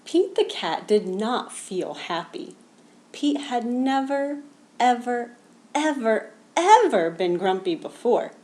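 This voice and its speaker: Female children's storyteller, higher-pitched, highly expressive intonation, read-aloud narration. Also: slow. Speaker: storybook reader.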